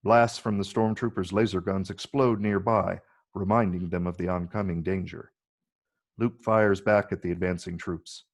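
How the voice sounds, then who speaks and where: A man reading stage directions as scripted narration in a measured, descriptive way. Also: deep voice, fairly even intonation. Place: virtual read, recorded remotely.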